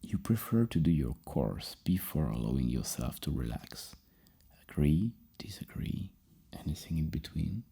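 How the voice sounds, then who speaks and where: Super low mellow guy doing soft asmr therapist talk, kinda rambling and hesitant. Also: very animated, normal speed, gravelly, slightly rough. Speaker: ASMR performer playing a psychologist.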